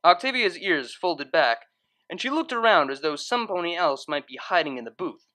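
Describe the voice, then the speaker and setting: Female narrator, scripted storytelling, expressive, lively intonation, slightly lower pitch. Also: normal speed.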